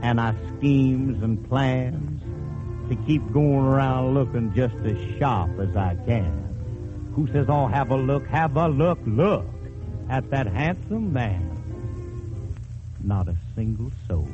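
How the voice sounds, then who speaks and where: A man drawls a folksy vaudeville lament very slowly, half talking and half singing to the audience. Music plays clearly behind him, and the old radio recording is dull. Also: medium pitch, expressive. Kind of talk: half-spoken comic lament, vintage vaudeville song. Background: music accompaniment.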